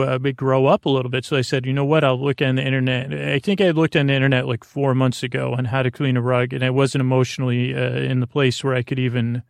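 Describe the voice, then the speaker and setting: Gravelly American man, rambling and halting. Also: medium pitch, no echo, clean studio sound. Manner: halting, self-deprecating. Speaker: sleep podcast host. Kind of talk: rambling, meandering bedtime monologue.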